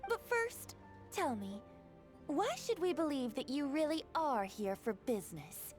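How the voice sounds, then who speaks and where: A guarded female bank clerk in a video game, high-pitched and very animated in intonation. She is formal and curt, openly wary, with faint music underneath. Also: unhurried. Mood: wary, reproachful. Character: guarded bank clerk, stiffly polite and distrustful woman. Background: background music.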